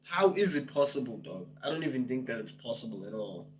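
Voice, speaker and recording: A man's voice: mid-range voice, big pitch swings, fast talker, slight background noise, low-quality recording. A man in his twenties chatting casually with viewers on a livestream, teasing them, laughing often and repeating himself. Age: 20s. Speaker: livestream host.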